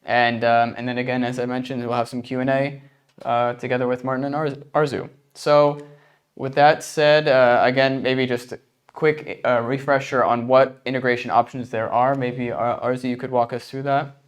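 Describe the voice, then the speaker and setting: A man's voice: mid-range voice, level tone, normal speed. American man hosting a webinar, hesitant phrasing. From United States. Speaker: webinar host.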